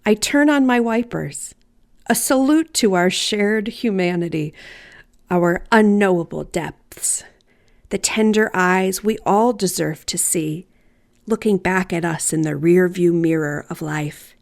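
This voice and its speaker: A woman storyteller reading a personal story aloud in a deliberate, theatrical, wryly humorous way. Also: lowish voice, creaky. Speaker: writer and storyteller.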